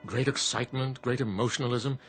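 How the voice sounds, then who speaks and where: An actor playing a knowing genie in a radio drama, speaking in a formal, measured and faintly smug way. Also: male voice, mid-range voice, steady tone, faint music behind, natural voice. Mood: cautioning. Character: smooth, knowing male genie, coolly warning.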